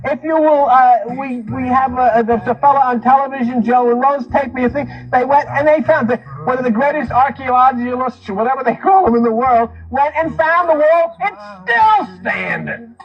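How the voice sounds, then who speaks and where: A man's voice: very high voice, normal speed, highs cut off. A man giving an impassioned lecture on religion, speaking emphatically and repeating phrases for stress. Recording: speech mixed over music. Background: music.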